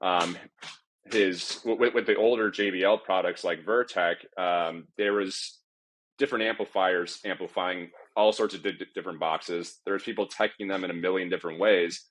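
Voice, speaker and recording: A man speaking as a panel guest on live sound, explaining off the cuff with halting, repeated phrasing. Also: deep voice, steady tone, a bit bright. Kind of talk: panel discussion, unscripted explanation.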